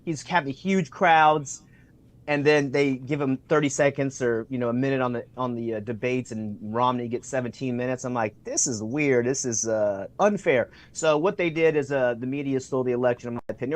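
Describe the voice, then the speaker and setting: A man's voice: medium pitch, normal speed. A man talking as a guest over a video call, enthusiastic and full of fillers, acting out what people said in his stories. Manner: enthusiastic, acts out quoted remarks, many fillers. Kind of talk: conversational interview storytelling. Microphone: remote video call.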